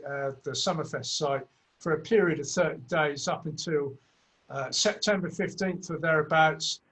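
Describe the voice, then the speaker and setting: A man opens an online festival panel in a chatty, rambling way, his voice sitting a bit high for a man. He is generous with ums and uhs as he welcomes the viewers. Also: fairly bright tone, rough recording. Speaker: festival host.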